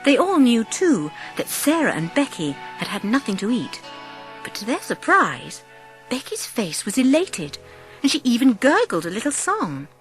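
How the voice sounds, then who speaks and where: Expressive woman narrator with lively intonation. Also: on the high side, moderate pace, faint music behind. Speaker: audiobook narrator. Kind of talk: dramatised scripted storybook narration.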